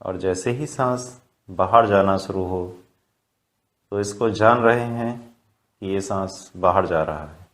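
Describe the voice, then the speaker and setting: A man guiding a meditation in Hindi with calm, measured instructions. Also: deep voice. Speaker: meditation guide.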